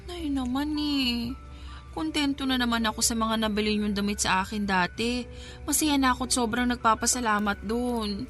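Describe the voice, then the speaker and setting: A woman's voice: on the high side, fairly even intonation, moderate pace, fairly bright tone, soft background music, lightly acted. A woman playing a dutiful, bashful young daughter with a Filipino accent, pleading respectfully in a radio drama. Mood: hesitant, bashful.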